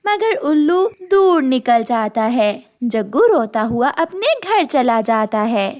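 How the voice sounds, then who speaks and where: A woman narrates a children's story. Her voice is high, and she delivers scripted storytelling lines. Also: big pitch swings, slow pace, darkish tone.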